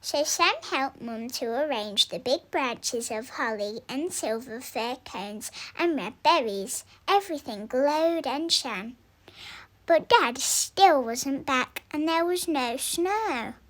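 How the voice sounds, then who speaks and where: A young woman reading a children's story aloud in an expressive, deliberate way, drawing out words for emphasis. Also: high voice. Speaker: storybook reader.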